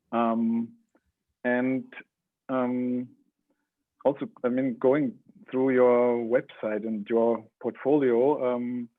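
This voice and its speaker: Slow, halting man on a thin call line. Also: medium pitch, little pitch movement, dry recording. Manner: halting, deliberate. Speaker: interviewer, art space organizer. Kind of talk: informal moderated talk. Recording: dry, narrow phone-like call sound.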